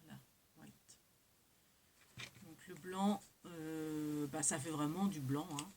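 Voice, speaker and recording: A woman's voice: low voice, slow pace, darkish tone. Woman rambling casually over hissy background noise. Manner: hesitant, rambling, self-correcting. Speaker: craft vlogger. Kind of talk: casual haul chat to viewers, showing items. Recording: clear background noise with hiss.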